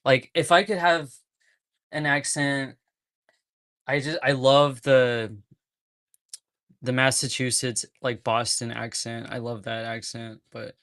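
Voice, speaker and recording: A man's voice: mid-range voice, fairly even intonation, takes it slowly, long pauses, fairly bright tone, dry recording. A man from California chatting casually on a livestream, rambling and breaking into nervous laughs. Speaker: streamer.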